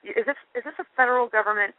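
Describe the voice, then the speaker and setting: A woman's voice: fairly high pitch, racing, slight background noise. A woman calling in a complaint by phone, insistent and questioning, often repeating herself. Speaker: member of the public calling in a complaint. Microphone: telephone. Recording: narrow-band telephone line.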